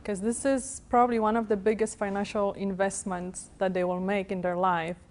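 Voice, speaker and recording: A woman's voice: mid-range voice, flat delivery, moderate pace, darkish tone. A woman in her thirties from Poland, with a Polish accent, answering interview questions as a real estate professional. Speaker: guest, real estate broker. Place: TV studio.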